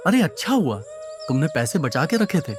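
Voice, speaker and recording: A man's voice: on the high side, very animated, normal speed, over music. Earnest poor young husband played by a man. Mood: relieved. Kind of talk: Hindi cartoon moral story voice acting.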